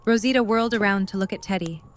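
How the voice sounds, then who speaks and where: A woman's voice: mid-range voice, quick pace. A woman reading scripted third-person narration for an audio drama.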